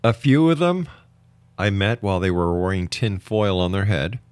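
Deep-voiced male talk show host. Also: very animated, normal speed, smooth, no echo. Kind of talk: conversational interviewing.

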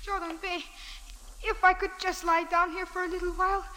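A woman's voice: very high-pitched, little pitch movement, moderate pace, pushed and bright, slight background noise. A woman playing a frightened young beggar boy, pleading and deferential, in 1940s radio drama. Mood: earnest pleading. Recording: old radio recording with long reverb.

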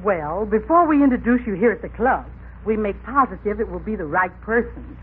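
A woman plays a brisk, proper club interviewer in vintage radio comedy. She speaks politely and formally over a scratchy, narrow-band broadcast with audible hiss. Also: expressive, natural voice. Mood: polite, businesslike. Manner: formal, businesslike, high-toned. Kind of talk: old-time radio comedy acting.

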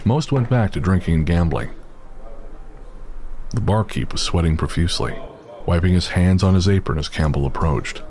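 A man narrating a scripted horror audio drama in a measured, steady way. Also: low voice. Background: music.

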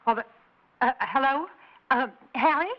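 A defensive, indignant suburban wife in sixties radio drama style. Her voice sits on the high side, sharp-tongued and challenging. The sound is dull, like an old broadcast. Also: unhurried. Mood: coy, probing. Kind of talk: 1960s radio drama acting. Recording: dull 1960s radio recording.

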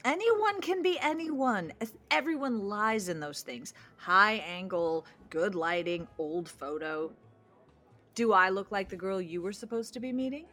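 A young woman waiting on a blind date in an audio comedy. She is needling, nervous and suspicious, with a bright voice that swings widely in pitch. She takes her time even as she rambles. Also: unhurried, soft background music. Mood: defensive, rambling. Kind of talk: audio drama acting, romantic comedy dialogue.

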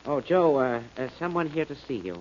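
A man's voice: a little high, expressive, brisk, a little mellow, close to an everyday voice. An actor playing a polite, formal, slightly hesitant male dentist in 1940s radio drama style. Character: courteous, puzzled professional male dentist. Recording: dull hissy old radio recording.

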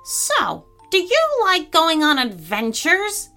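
Children's story parrot, played by a woman: gabby and teasing, high-pitched, swooping delivery, eager to please himself. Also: huge pitch swings, unhurried, sharp tone, faint music behind, full character voice. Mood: eager, pleading. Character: chatty, cheeky, eager male parrot.

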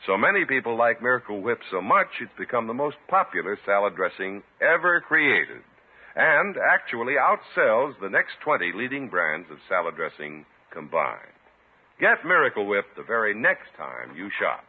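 A man's voice: lowish voice, very animated, moderate pace, phone-quality sound. A man working as a 1950s radio announcer, reading scripted narration and advertising with a polished, emphatic salesman's delivery. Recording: thin, husky-sounding old radio band.

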